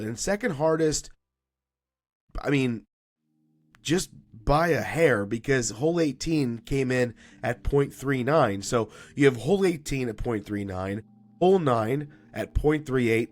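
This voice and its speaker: A man hosting a solo sports recap podcast, chatty and upbeat, his voice a little raspy and deeper from allergies. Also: darkish tone. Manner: chatty and upbeat, with frequent fillers and restarts. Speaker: podcast host.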